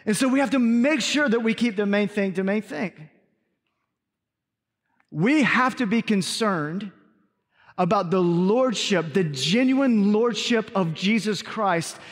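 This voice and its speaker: A man preaching to his congregation as pastor, energetic, with call-and-response asides, then interviewing a guest on stage. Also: high-pitched, slow pace. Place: large church sanctuary. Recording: reverberant church hall.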